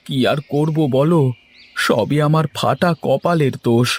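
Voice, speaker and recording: A man's voice: a little high, measured pace, breathy edge. Hapless cartoon labourer, played by a man, humble, earnest and pleading. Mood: plaintive. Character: poor, hapless, eager village labourer husband. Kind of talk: Bengali cartoon folk-tale acting.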